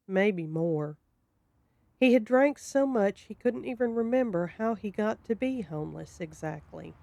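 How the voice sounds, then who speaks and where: A woman narrating a scripted horror story in a deliberate, descriptive storytelling manner. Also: on the low side, mellow.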